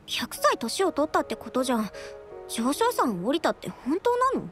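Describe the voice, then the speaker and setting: A woman's voice: high-pitched, sing-song, moderate pace, faint music behind. A woman voicing an outspoken, curious young listener in a game. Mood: skeptical, curious. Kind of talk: game voice acting.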